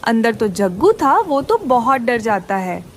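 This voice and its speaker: A woman's voice: fairly high pitch, very animated, a little slow, slight background noise. A woman reading scripted moral-story narration for a children's cartoon.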